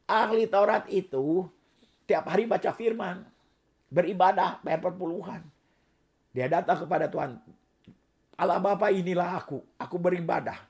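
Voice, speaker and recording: A middle-aged man preaching a sermon with a hoarse, raspy, worn-out voice, swinging between soft asides and loud emphatic declarations. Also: sits high, normal speed, long pauses. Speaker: pastor.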